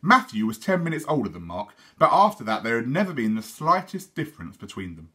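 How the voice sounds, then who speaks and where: A British man reads a children's story aloud like a teacher addressing a class. His pitch is animated and varied. The tone is bright and forceful, with a husky edge. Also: brisk. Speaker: teacher reading aloud to schoolchildren.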